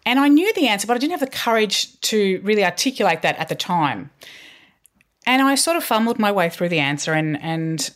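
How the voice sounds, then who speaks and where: A woman's voice: medium pitch, very animated, fairly quick. Earnest middle-aged woman guest. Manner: earnest, with frequent restarts and fillers. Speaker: guest, communications leader. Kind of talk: podcast interview, candid storytelling.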